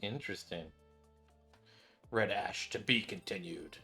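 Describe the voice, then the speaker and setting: A man's voice: fairly low pitch, unhurried, gravelly, pushed and bright, husky. A man hosting a gaming video, commenting casually and reading dialogue aloud. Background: faint game music.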